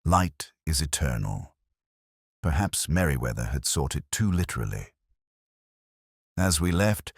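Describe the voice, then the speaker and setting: A weary, cultured police inspector played by a man narrates a detective drama in an extremely low, husky voice. He is brooding and measured, with literary phrasing. The sound is close and dry. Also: dry recording, full character voice. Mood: melancholy, pensive. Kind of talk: scripted first-person detective drama narration.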